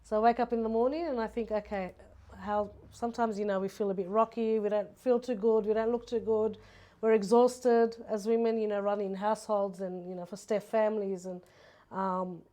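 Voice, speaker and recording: A woman with an Australian accent talks as a guest in a relaxed interview. Her voice is soft-edged and mellow, at a middling pitch. She speaks reflectively, in an everyday conversational way. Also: normal speed. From Sydney, Australia. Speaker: podcast guest, makeup artist.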